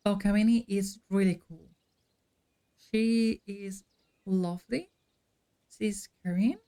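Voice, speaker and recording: A woman streamer chatting casually with her viewers in a friendly, unhurried way while playing a game. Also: medium pitch, fairly even intonation, mellow.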